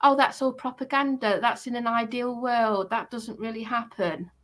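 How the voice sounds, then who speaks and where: A woman's voice: fairly high pitch, steady tone, moderate pace, a bit bright. A woman recounting a grievance in casual conversation, emphatic and indignant, often posing rhetorical questions.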